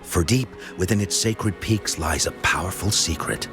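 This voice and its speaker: An actor playing a theatrical storyteller in a video game, spinning legends with measured, mysterious drama. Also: male voice, deep voice, over music, lightly acted. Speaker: storyteller character.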